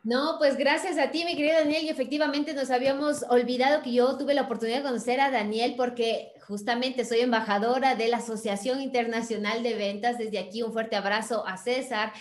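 A woman's voice: fairly high pitch, steady tone, a little fast, few pauses. Effusive woman podcast host, echoey room. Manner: warm effusive praise, affectionate sign-offs. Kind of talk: podcast interview by video call. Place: bright room at home.

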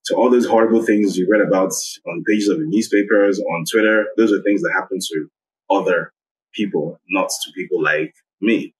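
A man, a guest recounting a personal story in a measured, reflective way. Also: low-pitched, poor audio. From Nigeria. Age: 30s. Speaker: guest, investigative journalist. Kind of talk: personal account in interview.

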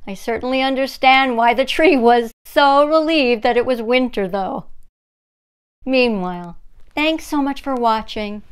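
A middle-aged woman hosts a read-aloud for young children. Her voice is fairly high and crisp, and she takes her time, addressing her listeners directly in a deliberate way. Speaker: children's story-time host.

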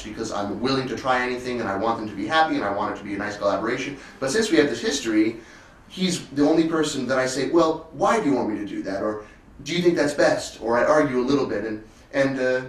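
Man, 20s, low register, earnest interviewee with halting restarts; echoing room sound. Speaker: concert cellist, interviewee. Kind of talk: conversational interview about music. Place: elegant indoor sitting room. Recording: roomy, distant mic, faint noise.

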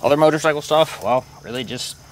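A man's voice: on the high side, quick pace, slight background noise. A young man talking casually and ramblingly as he shows his camping gear outdoors, with lots of fillers. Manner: relaxed and rambling, with many fillers. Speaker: motorcycle vlogger. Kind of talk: casual show-and-tell narration. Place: outdoors at a campsite.

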